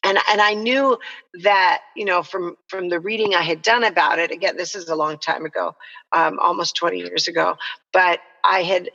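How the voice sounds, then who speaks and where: A woman's voice: fairly low pitch, fairly even intonation, normal speed, vocal fry, bright, forceful tone. A middle-aged woman from El Salvador speaking as a podcast guest, telling her story in an earnest, reflective, explanatory way over a dull, reverberant connection. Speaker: nonprofit co-founder, podcast guest. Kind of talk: conversational interview storytelling.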